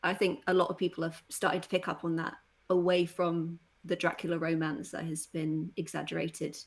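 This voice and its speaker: A woman with a voice a little on the low side speaks as an interviewer. She is conversational and agreeable, sharing her enthusiasm for the subject. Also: flat delivery, moderate pace.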